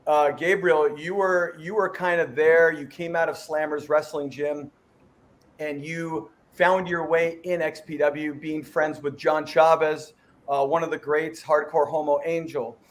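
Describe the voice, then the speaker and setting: A man's voice: on the high side, level tone, unhurried, slight background noise. A middle-aged man hosting an interview podcast, chatty and rambling with a joking streak. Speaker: podcast host. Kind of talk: casual interview chat.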